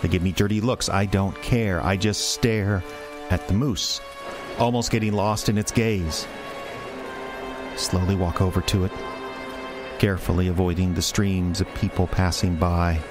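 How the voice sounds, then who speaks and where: An actor playing a dazed office man in a radio play monologue, speaking in halting, deliberate short phrases. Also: low-pitched, steady tone, over music. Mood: dazed, fixated. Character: dazed office man haunted by a moose, slow and hushed.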